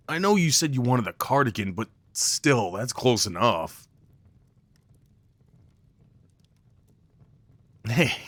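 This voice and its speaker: A man's voice: mid-range voice, a bit bright, no echo. A man playing an affectionate, playful boyfriend in an intimate ASMR roleplay, talking tenderly and rambling with light teasing. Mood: protesting, playful. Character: affectionate, playful, crafty boyfriend cuddling his partner.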